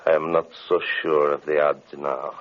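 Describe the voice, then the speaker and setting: An actor playing a ruthless, gloating mastermind in an old-time radio drama, deliberate, commanding and full of menace. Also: male voice, rumbling low, flat delivery. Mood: cold menace. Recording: dull, muffled old radio recording.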